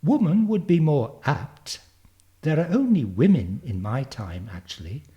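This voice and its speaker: A precocious ten-year-old girl from the future, as a male reader plays her, pitched high and lilting with animated swoops. She is confident, brisk and matter-of-fact, like a storybook prodigy. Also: highs cut off. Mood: proud, eager. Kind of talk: storybook character reading.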